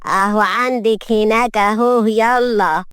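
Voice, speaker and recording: A woman's voice: on the high side, steady tone, a little slow, a bit bright, clear, resonant tone, dry recording, clean studio sound. A woman with an Egyptian accent voicing a cantankerous, imperious old lady of the house, scolding and drawling in an audio story. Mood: dismissive. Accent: Egyptian Arabic.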